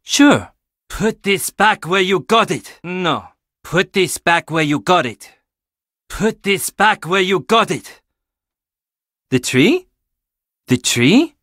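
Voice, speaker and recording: A man's voice: high voice, sing-song, slow, long pauses, a bit bright, no echo, clean studio sound, exaggerated voice. An actor playing a casual, teasing young handyman in a video game, with a laid-back, playful manner.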